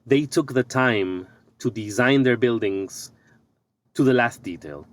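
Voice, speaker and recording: A middle-aged man from Ecuador, an architect and business coach, talking thoughtfully in a podcast interview, pausing and restarting his sentences often. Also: mid-range voice.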